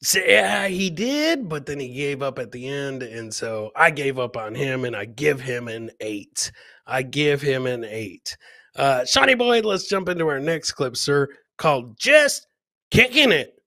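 Bright-voiced middle-aged man hosting, jokey. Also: medium pitch, sing-song, unhurried, no room sound, clean studio sound. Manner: jokey, repeats his catchphrases. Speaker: comedian hosting a livestream clip show. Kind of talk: casual live commentary on viral clips.

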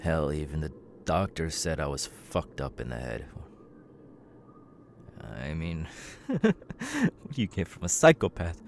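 A man's voice: extremely low pitch, sing-song, measured pace, gravelly, long pauses, noisy background, exaggerated voice. A man playing an unhinged young killer with tics and a stutter, stammering, muttering and yelping out sudden words in an ASMR audio roleplay. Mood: troubled, frustrated. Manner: stammering, sudden yelped outbursts, muttered asides.

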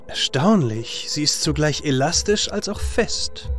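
A man voicing a bold, curious and reckless male hero in a fantasy audio drama, with measured, theatrical speech. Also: a little high, animated, a bit bright, faint music behind, pitched above the performer's own voice. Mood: amazed, curious.

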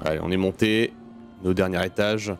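Male gamer, fairly low voice, informal sweary self-talk, faint game music underneath. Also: fairly even intonation, moderate pace. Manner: informal, sweary, muttering to himself. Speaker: gaming video host. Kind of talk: casual let's play commentary. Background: video game music.

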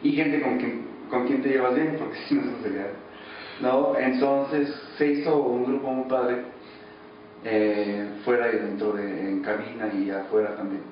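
A man's voice: mid-range voice, fairly even intonation, measured pace. A Mexican man in his thirties gives loose, conversational interview answers. The sound is slightly dull and strongly reverberant, with faint noise around him. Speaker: interviewee, radio drama actor. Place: small office. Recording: very echoey office, distant muffled mic, faint noise.

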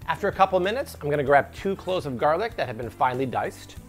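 A man's voice: on the high side, lively intonation, fast talker, soft background music. A man in his thirties hosting an upbeat cooking tutorial in a home kitchen, playful and full of jokey asides. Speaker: cooking show host.

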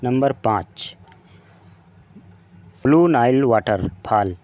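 A man narrating a countdown video as a voice-over, in slow, measured scripted narration. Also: mid-range voice, a little mellow, highs cut off. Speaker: channel narrator.

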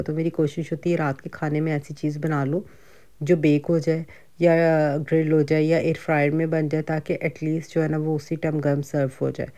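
Chatty Pakistani woman, mellow flat voice. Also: sits low. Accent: Pakistani Urdu. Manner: chatty, conversational, frequent fillers and asides. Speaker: home cooking vlogger. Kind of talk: casual cooking and grocery vlog.

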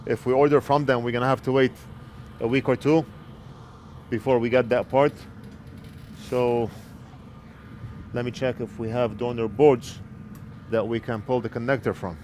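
A man's voice: medium pitch, steady tone, normal speed, pauses often, a little mellow, slight background noise. A man in his forties walking through an electronics repair step by step as he works at his bench, speaking plainly into a headset mic. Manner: plain, explanatory, talks while working. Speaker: repair technician. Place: electronics repair workbench indoors. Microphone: wired earpiece headset mic.

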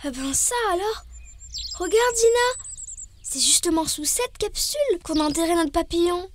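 A woman's voice: extremely high pitch, expressive, moderate pace. A woman voicing a small, curious, easily amazed child in a children's cartoon, with a naive, questioning manner.

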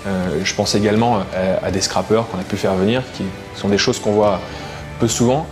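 A man's voice: lowish voice, fairly even intonation, normal speed. A man, a contractor's manager, explaining in a composed way in a documentary interview. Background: background music.

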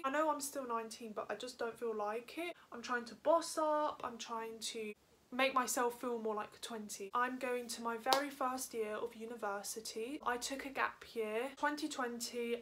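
A woman's voice: fairly high pitch, fairly bright tone. A young woman in her late teens, a lifestyle vlogger, chatting upbeat to a camera in her bedroom about what she bought. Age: young adult. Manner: chatty, upbeat, quick asides. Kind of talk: casual haul vlog talk to camera. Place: bedroom, indoors. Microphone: camera on a stand in front of her.

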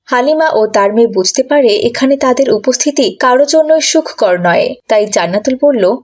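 A high-pitched woman narrates a cartoon story for children. Her delivery is scripted storytelling, addressed to young listeners. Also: animated, moderate pace, darkish tone.

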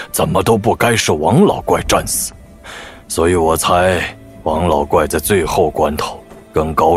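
Very deep, unhurried master swordsman. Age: middle-aged. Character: rugged, laconic middle-aged master swordsman. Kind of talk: audio drama acting.

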